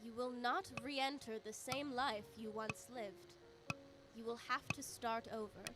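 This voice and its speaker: A woman playing a serene, cryptic immortal spirit in a radio drama, speaking in a deliberate, oracular way. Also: a little high, steady tone, lightly acted. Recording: voice under loud music.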